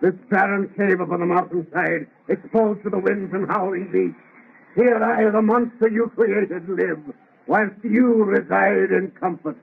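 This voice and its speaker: Man-made monster, raspy and theatrical, bitter and lonely, vintage radio horror on lo-fi narrow sound. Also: high voice, steady tone, unhurried, full character voice. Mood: bitter reproach. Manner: declamatory, anguished, theatrical. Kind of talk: 1930s radio horror acting. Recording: muffled, lo-fi vintage radio recording.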